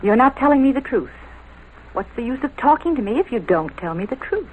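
An actress playing a sympathetic but probing woman questioner in an old radio drama, coaxing and deliberate. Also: fairly high pitch, sing-song, a little mellow. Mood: firm. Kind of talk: 1940s American radio crime drama acting. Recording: noisy vintage radio recording.

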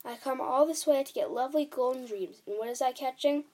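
A woman voicing an excitable, kindly male giant in a children's storybook, emphatic and exclamatory, with quaint muddled grammar. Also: medium pitch, moderate pace, close to an everyday voice. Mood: anguished, furious.

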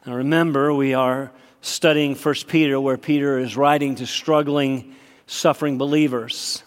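Nasal pastor preaching in a hall. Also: male voice, medium pitch, steady tone, normal speed. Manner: emphatic, rising for key points. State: slightly nasal from a cold. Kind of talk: sermon. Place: church sanctuary. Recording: long hall reverb.

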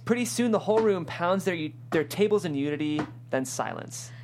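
A woman's voice: on the low side, expressive, moderate pace, a little mellow, soft background music. A woman narrator reading screenplay stage directions at a table read.

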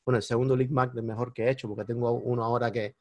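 Man, Cuban accent, mid pitch, dry close sound, animated storyteller, podcast guest. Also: very fast, dry recording. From Cuba. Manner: animated, jumps into high-pitched exclamations when retelling moments. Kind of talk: storytelling in casual conversation.

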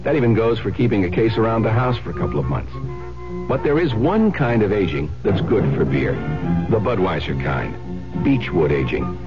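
Male radio announcer, American, low-pitched, animated, folksy sales delivery, music bed, muffled sound. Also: normal speed. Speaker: commercial announcer. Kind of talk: scripted radio advertisement. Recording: dull old radio recording over music.

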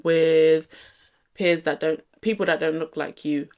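Low-voiced young London woman, reflective. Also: steady tone, unhurried, a little mellow. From England. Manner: reflective, earnest, many "like" fillers. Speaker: podcast co-host. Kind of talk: casual chat.

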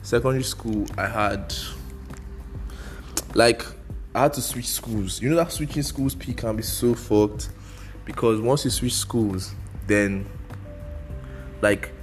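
Low-voiced young Nigerian man rambling. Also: slow pace, pauses often, faint music behind. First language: Igbo. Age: young adult. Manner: loose and rambling, mixing slang and pidgin. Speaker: podcast host. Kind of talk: casual solo podcast monologue.

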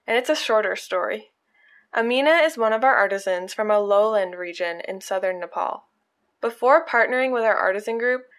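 A woman hosting a podcast, reading stories aloud in an earnest, measured way. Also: mid-range voice, a bit bright. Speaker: podcast host.

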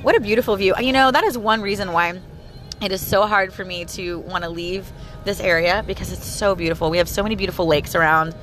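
A hoarse, tired-sounding woman in her thirties chatting casually to listeners while driving her car. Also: medium pitch, fairly quick, fairly bright tone, faint music behind. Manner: chatty, bubbly, addresses listeners as "you guys". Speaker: audio blog host. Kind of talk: casual daily vlog chat. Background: car engine and road noise.

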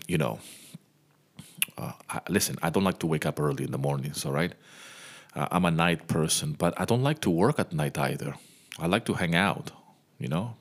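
A man in his thirties who grew up in Venezuela, hosting a casual podcast monologue and talking straight to his listeners. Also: low-pitched. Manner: chatty, speaks straight to the listener. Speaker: podcast host, music composer. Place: home.

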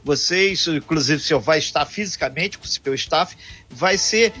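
A male talk show host with a bright, forceful edge to his voice and a husky grain. He speaks in an announcer's manner, with his pitch sitting a little high. Faint music runs beneath him. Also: normal speed. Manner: announcer-like, reads the time and introduces the guest. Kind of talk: radio talk show interviewing.

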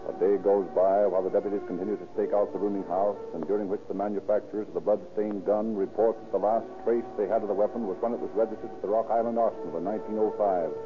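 A man's voice: fairly low pitch, fairly even intonation, fast talker, few pauses, over music. An American man narrating a scripted old radio crime drama. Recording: tinny old radio recording.

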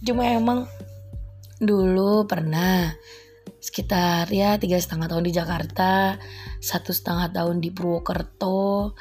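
A woman's voice: on the low side, steady tone, slow pace, pauses often, a little mellow, faint music behind. A woman from Java, a housewife hosting her own casual podcast, rambling and giggling at herself. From East Java, Indonesia. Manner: giggly, rambling, self-deprecating. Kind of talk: casual solo podcast monologue.

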